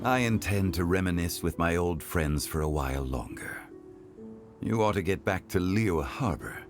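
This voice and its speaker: An actor playing a calm, scholarly gentleman in a video game, with formal, measured speech. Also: low voice. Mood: courteous, composed. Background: music.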